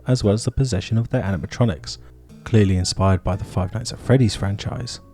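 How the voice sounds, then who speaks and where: A man's voice: on the low side, warm tone. A man hosting and narrating a scripted video essay.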